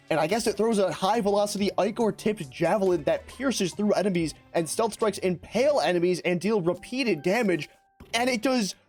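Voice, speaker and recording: A young man with a high, raspy voice, hoarse from shouting, rattles off excitable gaming commentary full of slang. Faint game music plays behind him. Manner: excitable, slangy, fast-talking, rambling. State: hoarse from lost voice. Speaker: gaming YouTuber. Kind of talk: energetic gameplay commentary.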